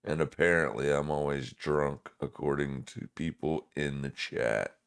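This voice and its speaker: Very deep, unhurried man with smoker's voice. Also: vocal fry, clear, resonant tone. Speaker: live-stream trading host. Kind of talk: live market commentary, casual.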